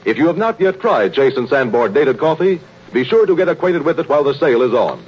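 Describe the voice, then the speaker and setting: A man's voice: fairly high pitch, big pitch swings, fast, darkish tone, breathy edge. A man announcing from a script in formal, emphatic 1930s radio style. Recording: muffled, noisy 1930s radio recording.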